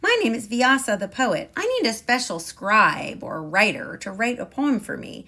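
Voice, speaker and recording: A woman voicing a gentle, wise old male poet in a storybook read-aloud. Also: on the high side, animated, moderate pace, full character voice. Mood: kindly.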